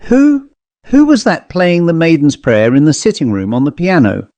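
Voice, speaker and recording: A man's voice: a little high, wildly swooping pitch, moderate pace, mellow, dry recording, pitched above the performer's own voice. An anxious, insistent woman from a storybook, acted out by a man reader. The voice is lifted well above the reader's own and presses each question with urgent emphasis. The recording is dry and close. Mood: frightened insistence. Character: anxious, insistent woman searching for her missing niece. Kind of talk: dramatised storybook reading.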